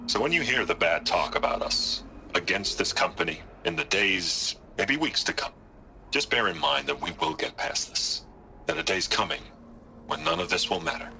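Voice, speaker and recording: A slick, evasive tech tycoon in a video game, smoothing things over and getting defensive. His voice is very deep yet bright and forceful, with wide swings in pitch and a faint hiss behind. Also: full character voice. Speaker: company head. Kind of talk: game cutscene acting.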